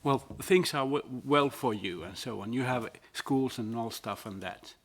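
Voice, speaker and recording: A man's voice: mid-range voice, unhurried. A man from Finland speaking as a panelist in a plain, explanatory way. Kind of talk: panel discussion.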